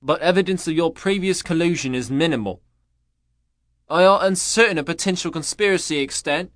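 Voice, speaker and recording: A man's voice: fairly high pitch, no echo, clean studio sound, pitched above the performer's own voice, close to an everyday voice. A man doing a stilted, robotic male agent. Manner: loud, halting, robotic, broken grammar. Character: stiff male agent controlled by a rogue machine. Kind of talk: audiobook character voice.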